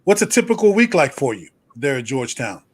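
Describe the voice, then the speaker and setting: A man's voice: mid-range voice, sing-song, fairly quick, breathy edge. Affable man hosting an interview. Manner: affable, reflective, ends points with "right?". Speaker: interview host. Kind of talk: conversational interview.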